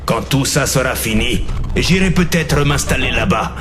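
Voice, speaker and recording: An actor playing a weary soldier in a war game, narrating reflectively and wryly. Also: male voice, medium pitch, animated, normal speed, music in the background, close to an everyday voice. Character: weary, wry male soldier recounting war.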